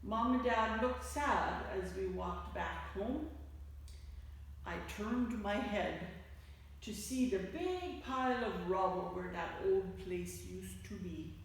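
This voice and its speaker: A woman reads a children's story aloud at a slow, careful pace, stressing key words expressively. She sounds set back from the microphone in a very echoey hall, and her voice sits at a middle pitch. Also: gravelly. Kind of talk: read-aloud picture book storytelling for children. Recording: echoey hall, distant mic, faint noise.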